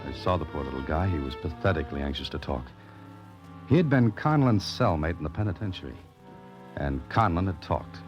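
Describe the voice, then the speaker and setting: An actor playing a wry, wisecracking amateur sleuth in a clipped, sardonic 1940s radio drama style. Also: male voice, very deep voice, big pitch swings, a little fast, muffled recording. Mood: wry narrating. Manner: sardonic, hard-boiled, clipped. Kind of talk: 1940s radio drama acting, first-person narration. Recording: old radio broadcast with music.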